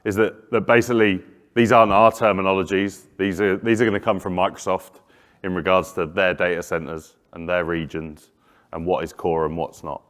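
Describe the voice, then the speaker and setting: A man presenting at a conference, answering questions in a measured, hesitant way. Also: low voice, fairly even intonation, a bit bright. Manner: hesitant, restarts phrases, measured. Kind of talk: conference session Q&A. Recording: long reverb of a conference hall.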